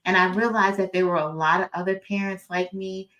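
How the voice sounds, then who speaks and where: A woman's voice: mid-range voice, steady tone, a little fast, a bit bright, lo-fi sound. A middle-aged woman, an author and educator, talking informally as a guest speaker. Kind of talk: informal introduction and personal talk.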